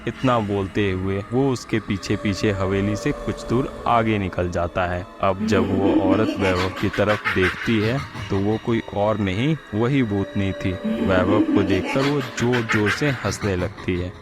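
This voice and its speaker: A man's voice: fairly low pitch, unhurried, few pauses, over loud music. A man working as a storyteller, reading a scripted horror story narration.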